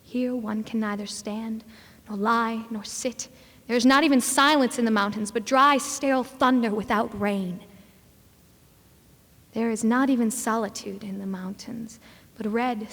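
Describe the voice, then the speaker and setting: A woman's voice: fairly high pitch, steady tone, a little slow, fairly bright tone. A woman giving an intense, theatrical recitation of poetry. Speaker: reader. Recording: reverberant hall recording.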